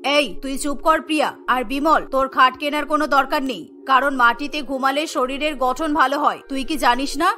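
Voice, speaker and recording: Cartoon mother, played by a woman: middle-aged, bossy, thrifty, scolding in a pitched-down, bright voice over faint music. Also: steady tone, normal speed, hardly any pauses. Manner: scolding, dismissive. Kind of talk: Bengali cartoon acting.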